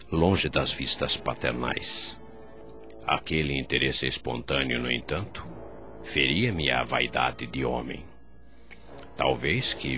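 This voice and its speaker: A very deep-voiced, remorseful man, tells his own story over soft music. His Brazilian Portuguese is formal and solemn, turned inward like a confession in a radio play. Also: exaggerated voice. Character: remorseful male doctor spirit recalling his past. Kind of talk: first-person radio drama narration.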